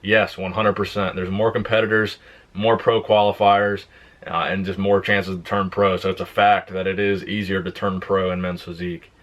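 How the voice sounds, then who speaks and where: A young man on a fitness channel, casually answering viewer questions in a plain, relaxed way. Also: low voice, moderate pace. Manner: relaxed, plain-spoken, reads questions aloud then answers. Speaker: fitness YouTuber. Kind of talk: casual Q and A vlog.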